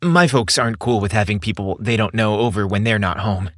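A man's voice: on the low side, a little fast, dry recording, studio quality, lightly acted. A man voicing an anxious, earnest, inexperienced young man in an audiobook romance. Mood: reassuring. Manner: earnest, eager to reassure.

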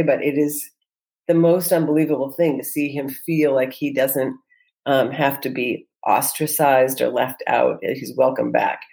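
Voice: medium pitch, darkish tone. An entrepreneur answering interview questions in an earnest, reflective way, often restarting sentences and using fillers. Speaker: entrepreneur guest.

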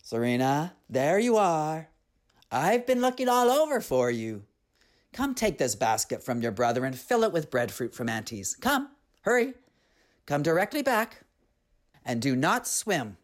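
A woman voicing a stern, angry mother scolding her careless daughter in a storybook tale, sharp and commanding. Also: sits low, very animated, a little slow. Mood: stern, hurried. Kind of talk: storybook character voice.

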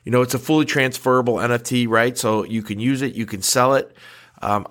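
A man's voice: lowish voice, a bit bright. Male podcast host with a head cold, nasal, chatty, lots of "uh"s and restarts. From United States. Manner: conversational, frequent fillers and restarts. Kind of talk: casual podcast talk.

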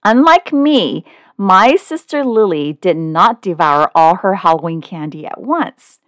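A woman's voice: on the low side, lively intonation, a little slow, dry recording, clean studio sound. A woman in her forties, a podcast host from California, talking in an upbeat, chatty, personal way. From Oakland, California. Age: 40s. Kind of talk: scripted podcast monologue.

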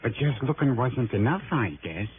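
A man's voice: medium pitch, expressive, normal speed, lightly acted. A man playing a sly, grasping small-time informer, streetwise and wheedling, in an early 1960s radio drama. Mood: confiding. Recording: hissy, muffled old radio broadcast.

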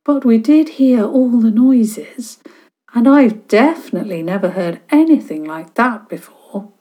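A woman's voice: fairly high pitch, expressive, a little slow, mellow, pitched above the performer's own voice, low-quality recording. A woman voicing a spirited young girl detective who stands up for herself. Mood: earnest. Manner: indignant, earnest.

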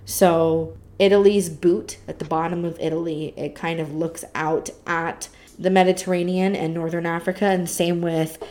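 A woman's voice: on the low side, steady tone, normal speed, a little mellow. A young woman from the United States co-hosting a podcast, chatting at length in a talkative way full of fillers. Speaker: podcast co-host. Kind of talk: casual chat.

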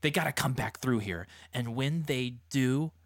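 A man's voice: medium pitch, unhurried, voice pushed up, lightly acted. A man voicing an angry, menacing boy gang boss, growling and hitting each syllable hard, in an audiobook story.

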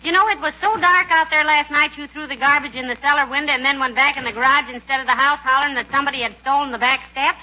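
A woman acting a patient, sensible wife, dry and gently scolding, in 1940s radio comedy style. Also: high voice, fairly even intonation, quick pace, few pauses, natural voice. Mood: teasing, scolding. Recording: dull, noisy 1940s radio recording.

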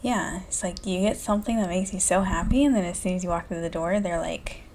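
A woman in her twenties hosting a solo podcast, talking low and hushed in a candid, profane casual chat from a room in a small house. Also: brisk, slight background noise. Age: 20s. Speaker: podcast host. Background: faint classical music.

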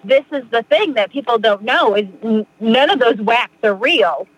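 A middle-aged woman from Massachusetts, a podcast guest rambling through a personal story with many fillers and quick laughs. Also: on the high side, expressive, no echo, low-quality recording. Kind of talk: casual storytelling in an interview.

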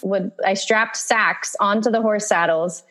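A woman who grew up in Virginia, a guest on a casual podcast. Her voice sits at an average pitch. She tells her stories in a friendly, easygoing way and laughs often. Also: fairly even intonation, unhurried, fairly bright tone. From Virginia, USA. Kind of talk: casual interview chat.

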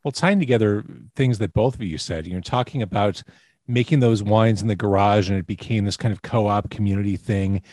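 A man's voice: on the low side, a little mellow, dry recording. A man hosting an interview, engaged and affirming as he asks follow-up questions. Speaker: interviewer host. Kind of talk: conversational interview.

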